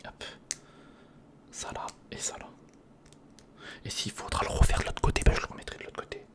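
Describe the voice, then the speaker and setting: An ASMR creator, speaking in a breathy whisper close to the microphone, casually talking through hands-on work and repeating short phrases. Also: moderate pace. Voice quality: breathy, mostly unvoiced whisper. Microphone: close studio recorder microphone.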